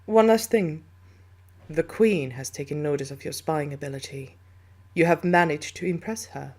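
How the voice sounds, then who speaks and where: A commanding alien officer, played by a man in an audio roleplay. The voice sits somewhat high for a male and speaks in a formal, clipped, official way. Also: moderate pace, a little mellow. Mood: approving.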